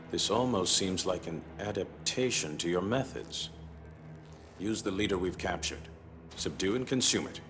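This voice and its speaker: An actor voicing an analytical male scientist who explains things in a measured, clinical voice in a video game. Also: sits low. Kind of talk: expository game cutscene dialogue. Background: music.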